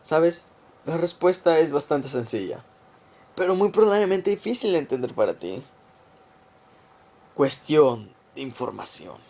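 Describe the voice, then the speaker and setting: A man playing a cold government conspirator in a radio drama, confessing a plot to his victim in a deliberate, taunting, menacing way. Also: on the high side, sing-song, gravelly, a little mellow, exaggerated voice. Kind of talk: amateur radio drama monologue.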